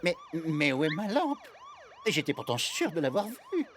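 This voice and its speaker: An excitable cartoon vet, obsessed and fussy. His pitch leaps up and down in very animated exclamations, with loud music playing behind him. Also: unhurried. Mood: officious. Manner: fussy, exclamatory, verbal tic tagged onto sentences. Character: excitable, obsessive male veterinarian craving a Nobel Prize. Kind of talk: cartoon voice acting.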